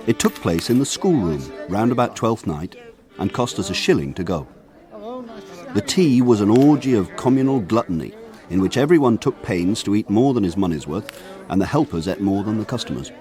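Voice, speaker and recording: An actor playing a grown man narrating his village boyhood, in a measured, wry, lyrical radio drama style. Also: on the low side, animated, dark tone, faint music behind, close to an everyday voice. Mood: wry nostalgia.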